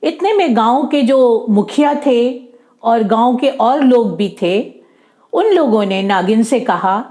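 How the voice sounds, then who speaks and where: A woman telling a children's folk tale, pausing to repeat and explain words simply. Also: fairly high pitch, expressive, warm tone. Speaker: storyteller for children.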